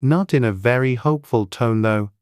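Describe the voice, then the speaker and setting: Very low-pitched woman storybook narrator. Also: moderate pace, a little mellow, dry recording, clean studio sound. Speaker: audiobook narrator.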